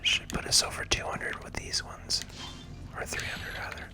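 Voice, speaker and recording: A gaming video host giving hushed, relaxed ASMR commentary in a breathy, unvoiced whisper while playing. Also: moderate pace.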